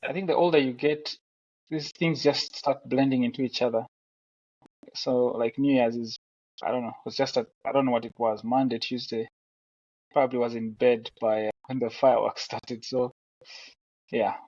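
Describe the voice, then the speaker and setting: Gravelly young man on a dull video call. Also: medium pitch, dry recording. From Uganda. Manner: relaxed, halting, self-deprecating with restarts. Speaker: film director, guest. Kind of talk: casual interview over video call. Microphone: headset on video call. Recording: dry, dull lo-fi call audio.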